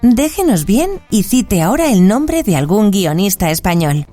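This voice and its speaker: A woman's voice: medium pitch, sing-song, normal speed, dark tone, faint music behind, natural voice. A woman voicing a formal quiz-booth machine. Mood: formal prompting. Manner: formal, announcing machine prompts. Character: female automated movie-booth machine, formal. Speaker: quiz host voice.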